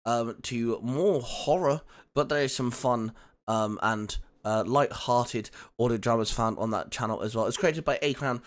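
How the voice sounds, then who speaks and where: A man's voice: medium pitch, unhurried. A man co-hosting, rambling through a friendly outro with plenty of fillers. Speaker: co-host doing the outro. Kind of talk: casual channel plug and outro.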